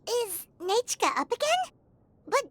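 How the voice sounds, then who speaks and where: Tiny excitable game fairy: extremely high, childlike squeak, wildly swooping pitch, music behind. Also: female voice, a little slow, very noisy, full character voice. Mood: startled, curious. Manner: refers to herself in third person, exclamatory. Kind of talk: video game voice acting.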